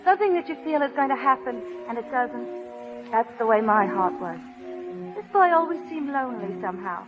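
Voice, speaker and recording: A woman's voice: on the high side, lively intonation, brisk, natural voice. An actress playing a timid, dreamy young woman who speaks hesitantly and earnestly in a 1930s radio drama. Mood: wistful. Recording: muffled old radio recording with music.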